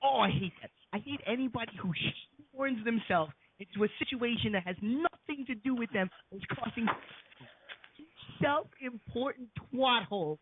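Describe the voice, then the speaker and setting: A man's voice: very high-pitched, lively intonation, moderate pace, noisy background. A man co-hosting a crude comedy chat, foul-mouthed and ranting, with frequent laughter. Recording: dry, husky phone-line audio.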